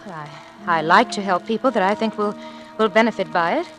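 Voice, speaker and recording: A prim, kindly lady played by a woman in an old radio thriller, with a medium-pitched voice and soft music underneath. She is genteel but uneasy, choosing her words in a halting, guarded way. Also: close to an everyday voice. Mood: guarded, awkward. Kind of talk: 1940s-50s radio drama acting.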